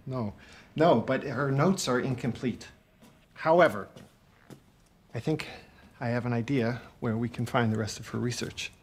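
A man's voice: medium pitch, a little slow, long pauses, natural voice. Man acting an earnest, hesitant mentor, noisy ambience. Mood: earnest, explaining. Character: concerned, awkward adult male mentor with a secret plan. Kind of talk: cinematic video game acting.

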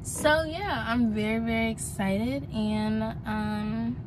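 A woman's voice: fairly high pitch, slow, noisy background. Chatty young woman vlogging on her phone. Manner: chatty, bubbly, addresses viewers as y'all. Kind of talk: casual travel vlog. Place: car, then restaurant and shop. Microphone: phone at arm's length.

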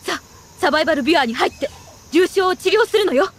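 A woman voicing a composed, firm medic in a video game, giving clear scripted instructions to a wounded soldier. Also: very high-pitched, lively intonation, quick pace, a little hiss or hum. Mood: urgent.

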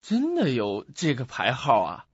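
A man's voice: mid-range voice, wildly swooping pitch, measured pace, breathy edge, no room sound, exaggerated voice. An actor playing a puzzled, anxious young man in a radio drama, speaking hesitantly and questioning himself. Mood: hesitant, polite. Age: young adult. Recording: muffled lo-fi audio, music behind.

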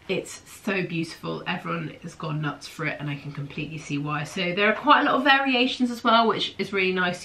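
A woman's voice: fairly low pitch, pushed and bright. A woman in her thirties with a stuffy, nasal voice from hay fever, chatting enthusiastically to camera from a small room at home. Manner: enthusiastic, gushing, gestures a lot with her hands. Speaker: host of a sewing pattern show. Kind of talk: casual chatty review to camera.

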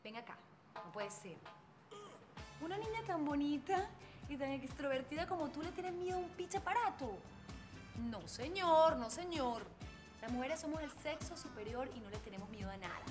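Lively bold neighbor woman, high voice, theatrical, music behind. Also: normal speed. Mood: chatty. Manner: bossy and soothing by turns, theatrical.

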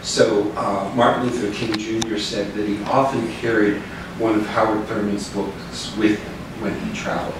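An older man speaks as a minister giving an informal, halting reflection. His voice sits a little low. The room is very echoey, with clear background noise. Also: level tone, recorded from a distance. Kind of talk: informal reflective talk to a small group. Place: living room with small seated group.

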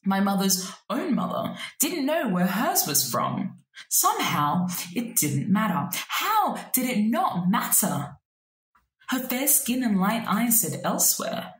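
Woman, thirties, native Arabic speaker, spoken word poetry, slow, reflective, webcam, echoey room. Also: medium pitch, sing-song. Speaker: poet. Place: small room indoors.

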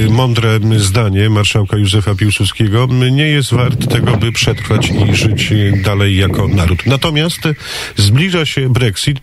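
A man hosting a radio interview, putting conversational questions in deliberate, halting phrases. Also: lowish voice, noisy background. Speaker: radio host.

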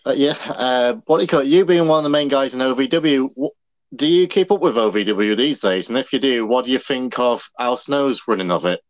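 A man's voice: mid-range voice, a little fast. A man in his thirties co-hosting casually and putting questions to a guest over a dull, lo-fi video call. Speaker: co-host reading questions. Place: indoor room, video call.

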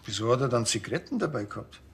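A man's voice: medium pitch, racing, slight background noise, close to an everyday voice. An actor playing a grumpy, sardonic small-town policeman with a Bavarian accent and a dry delivery. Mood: dry, grumbling. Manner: dry, deadpan. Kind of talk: TV crime comedy acting.

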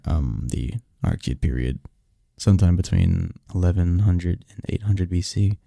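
A man's voice: sits low, creaky, warm tone, dry recording, clean studio sound. A man playing a snarky, cynical young research assistant in an audio drama, talking conversationally with a scoffing, pedantic manner and small stumbles. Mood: absorbed, explaining.

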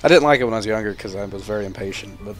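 Young male gamer, deeper side, casual and foul-mouthed, wry running commentary, clear music behind. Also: very fast. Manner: sarcastic, profane running commentary. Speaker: gaming video host.

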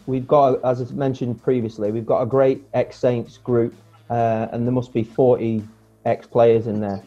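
A man in his forties chatting casually as a guest on a video call, relaxed and rambling, with plenty of fillers and restarts. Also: mid-range voice, normal speed. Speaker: guest, former footballer. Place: home room, indoors. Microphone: earphone mic on a video call. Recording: dull lo-fi video call audio with faint music.

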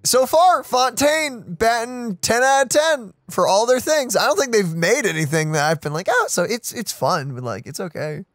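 Lively young gamer chatting casually. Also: mid-range voice, normal speed, hardly any pauses, dry recording. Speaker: let's play co-host. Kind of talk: casual gaming chat and reactions.

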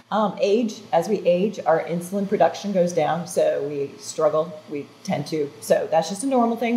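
A woman's voice: lowish voice, a little hiss or hum, poor audio. An older woman, a wellness guest on a studio talk show, explaining health advice earnestly with restarts and "so" and "um", recorded on a lapel mic. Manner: earnest, explanatory, animated hand gestures. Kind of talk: informative interview talk. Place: TV studio set.

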